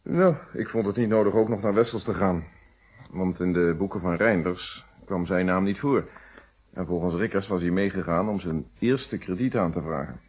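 A calm police inspector in a radio detective drama. His Netherlands Dutch voice is low and clean, polite yet probing, with a dry wryness. The recording is dull and muffled. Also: slightly rough. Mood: wry, explanatory. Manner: polite, measured questioning.

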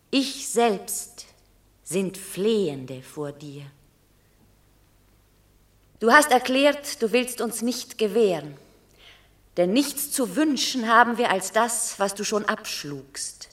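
An actress playing a noble Roman mother in a classical verse radio drama, grave, formal and imploring but unyielding. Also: mid-range voice. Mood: solemn pleading.

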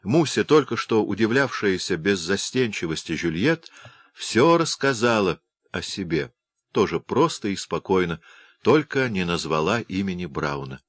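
A man narrating a literary novel as an audiobook, reading in a measured, even way. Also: sits low.

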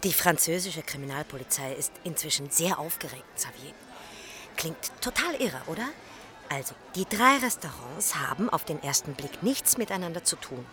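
Low-pitched, composed woman investigator. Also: big pitch swings, moderate pace, bright, forceful tone, heavily acted. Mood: intrigued. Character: composed, sharp-witted female colleague investigating restaurant fires. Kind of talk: radio crime drama acting.

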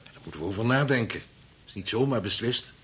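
An actor in a Dutch radio play, playing a calm, loyal male research assistant, polite and deliberate. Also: fairly low pitch, breathy edge, exaggerated voice. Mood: earnest.

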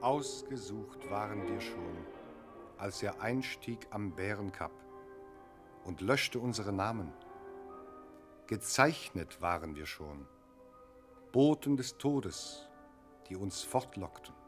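A man's voice: lowish voice, lively intonation, slow, long pauses. An actor playing a mournful dead man in a poetic radio play chorus, declaiming like an incantation. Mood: mournful, incantatory. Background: music.

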